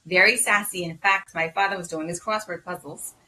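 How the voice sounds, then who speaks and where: Bahamian-accented woman, doctor guest; low, bright tone, lively with fillers. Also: fairly quick, slight background noise, rough recording. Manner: lively, many ums, repeats words for emphasis. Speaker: physician guest. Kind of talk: casual interview talk.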